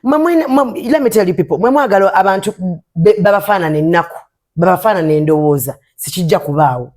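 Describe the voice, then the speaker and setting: A young woman with a Ugandan accent, an interview guest who talks back forcefully and defensively, switching between English and Luganda. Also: fairly low pitch, animated. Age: young adult. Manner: forceful, defensive, fast-talking. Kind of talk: heated casual interview.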